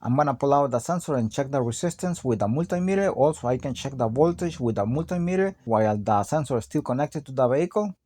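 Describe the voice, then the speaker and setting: A man's voice: medium pitch, lively intonation, quick pace, few pauses, warm tone. A man giving a hands-on auto repair tutorial, explaining each step in a plain, practical way. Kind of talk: hands-on how-to narration.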